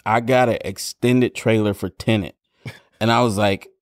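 A man co-hosting a casual podcast, telling stories in an animated way. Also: on the low side, normal speed, no echo, studio quality. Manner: animated storyteller. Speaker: podcast co-host. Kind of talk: casual chat.

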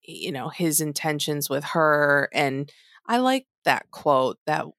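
A woman with a notably deep voice chatting casually as a podcast co-host. She drifts through asides and fillers, often trailing off mid-thought, and the recording is close and dry. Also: lively intonation, slow pace, fairly bright tone, no echo. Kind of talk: casual recap chat.

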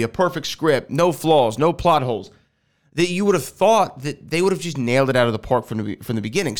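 A man hosting a solo podcast, rambling and correcting himself as he goes, with a nasal voice because he is getting over a sinus infection. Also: mid-range voice. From Houston, Texas. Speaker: podcast host. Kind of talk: casual solo podcast talk. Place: air-conditioned house.

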